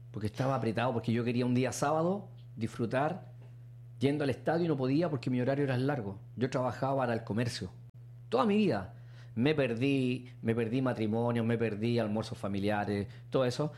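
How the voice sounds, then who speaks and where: A middle-aged man with a Chilean accent, a radio host chatting casually and telling a personal story. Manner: storytelling, acts out quotes with animated pitch jumps.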